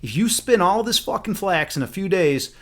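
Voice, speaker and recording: A man plays a brash fairy-tale queen in a comic storybook retelling. The voice sits a little high, and the queen talks bluntly, with excitable, sweary slang. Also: normal speed. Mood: brisk, dealing.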